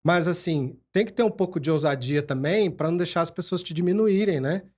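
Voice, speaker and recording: A man from Brazil hosting an interview podcast, his voice a little high for a man. He talks in a halting, reflective way, often breaking off and starting his sentences over. The tone is easy and conversational. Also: darkish tone. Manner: halting, restarts and "né" fillers. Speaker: podcast host. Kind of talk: casual interview chat.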